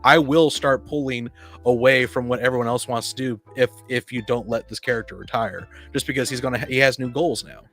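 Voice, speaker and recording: Halting man in casual discussion, faint music. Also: mid-range voice, fairly even intonation, a bit bright. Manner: halting, repeats and rephrases mid-sentence. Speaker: podcast co-host. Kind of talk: casual discussion of tabletop roleplaying.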